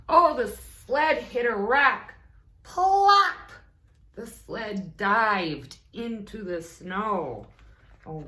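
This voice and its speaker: A woman narrates a children's story at a very slow pace with highly varied intonation. She slips into casual asides and questions to her listeners, and the room echoes around her voice. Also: sharp tone. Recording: roomy echo.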